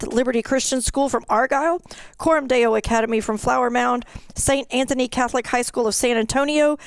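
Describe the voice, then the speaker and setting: A woman with a somewhat high-pitched voice presents information in a webinar. She moves through details in an orderly, matter-of-fact manner. The sound is dry, with little room echo. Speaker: fine arts director giving event details.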